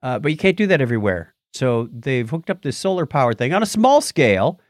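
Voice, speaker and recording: A man's voice: mid-range voice, big pitch swings, a little fast, no echo, studio quality. A man hosting a podcast in a casual, chatty style, bantering and joking as he goes. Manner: jokey, chatty. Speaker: podcast host. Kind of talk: casual news and birthdays banter.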